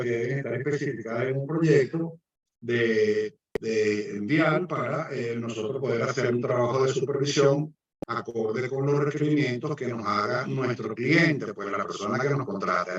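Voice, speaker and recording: Man, Venezuelan accent, lecturing, hesitant with fillers, heard from far off in a very echoey room. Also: medium pitch. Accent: Venezuelan Spanish. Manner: hesitant, many fillers and restarts. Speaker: guest lecturer, civil engineer. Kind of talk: online lecture presentation. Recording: echoey distant muffled mic.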